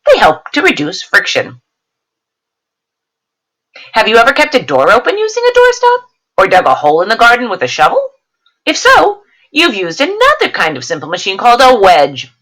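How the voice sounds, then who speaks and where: A woman's voice: fairly high pitch, wildly swooping pitch, moderate pace, a bit bright. A woman teacher reading a science lesson aloud, explaining it to students and checking in with questions.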